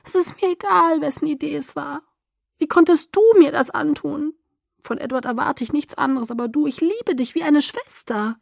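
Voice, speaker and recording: A woman voicing an excitable, wheedling young woman in an audiobook, with pouty, gushing, dramatic delivery. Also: high-pitched, normal speed, few pauses, no echo, clean studio sound, voice pushed up. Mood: hurt, reproachful. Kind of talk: audiobook character acting.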